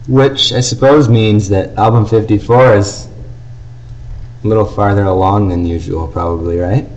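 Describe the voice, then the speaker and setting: A man's voice: on the low side, steady tone, normal speed, darkish tone, muffled recording, slight background noise. A man co-hosting a fan podcast in casual, easygoing conversation. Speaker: podcast co-host.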